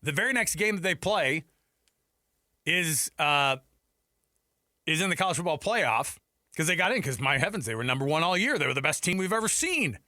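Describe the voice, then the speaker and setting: Bright, higher-voiced American sports radio man. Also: big pitch swings, moderate pace, pauses often, no room sound, clean studio sound. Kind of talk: casual sports talk commentary. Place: radio studio. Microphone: close studio headset mic.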